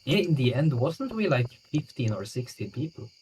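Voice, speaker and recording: The young Norwegian guy on a game stream, a native Norwegian speaker at an average pitch, chatting with his co-op friends. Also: very animated, normal speed, darkish tone, slight background noise, rough recording. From Norway. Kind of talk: casual gaming chat. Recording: background game music. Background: game music.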